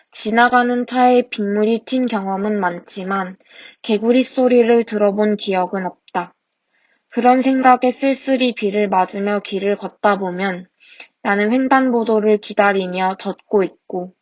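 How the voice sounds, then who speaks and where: A young woman reads her own essay in a soft, reflective voice at a middle pitch, pausing now and then to fix a word. The recording is close and dry with no room sound, and slightly dull and lo-fi, like a simple home mic. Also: dry recording. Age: 20s. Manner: soft, reflective reading with small self-corrections. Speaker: podcast host reading her essay. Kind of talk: reading a personal essay aloud.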